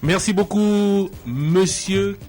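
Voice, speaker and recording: A man's voice: high voice, extremely slow, a little mellow, faint music behind. A man with a Congolese accent presenting a radio programme, making announcements to listeners as the host. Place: radio studio.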